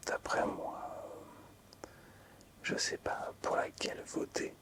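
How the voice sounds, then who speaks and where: Whispering man, soft ASMR delivery. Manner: soft, unhurried, hesitant with pauses. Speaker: video creator showing collectible cards.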